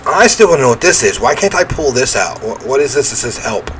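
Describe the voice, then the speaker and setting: A man's voice: medium pitch, very animated, brisk, fairly bright tone, soft background music. A man reading a visual novel aloud as a game streamer, mixing dramatic narration with wry casual asides. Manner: throat-clearing, wry asides.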